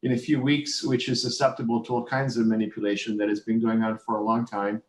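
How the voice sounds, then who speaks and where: Male panelist, earnest and worried, halting delivery, heard in a roomy echoing space. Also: lowish voice, little pitch movement. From United States. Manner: earnest, concerned, many fillers. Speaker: panel speaker, business professor. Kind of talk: panel discussion. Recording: roomy echo.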